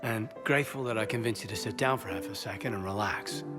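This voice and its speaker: A man playing an earnest, sincere soldier in a video game, speaking in a soft, hesitant way. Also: fairly low pitch, fast, lightly acted. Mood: relaxed, reflective. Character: earnest male soldier, adult, sincere, restless, quietly yearning. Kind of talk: naturalistic video game voice acting. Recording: music behind the voice.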